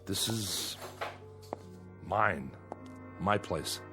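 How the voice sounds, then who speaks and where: Low-voiced, bitter exiled convict. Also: male voice, lively intonation, very slow, exaggerated voice. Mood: wary, flustered. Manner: sardonic, terse, easily exasperated. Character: bitter lonely male convict exiled on an asteroid. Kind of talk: radio drama acting. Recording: radio drama with music underneath.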